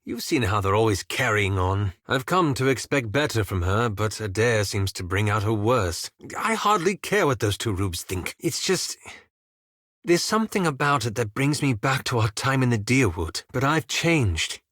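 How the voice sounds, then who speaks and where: An actor voicing a prim, bookish elf wizard in a fantasy video game, speaking formally and precisely with dry sarcasm. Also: male voice, mid-range voice, expressive, normal speed, a bit bright, no room sound. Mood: irritated.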